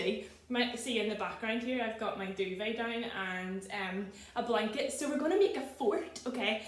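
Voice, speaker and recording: A woman chatting casually and gently to children as a storyteller. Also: fairly high pitch, roomy sound. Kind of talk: casual chat to children, reading a picture book aloud.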